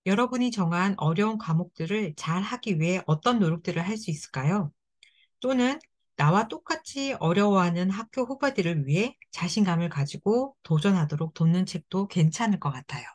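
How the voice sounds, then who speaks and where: A woman narrating step-by-step craft instructions for children in a gentle, encouraging way. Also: lowish voice, expressive, moderate pace. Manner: gentle, encouraging, speaks directly to kids.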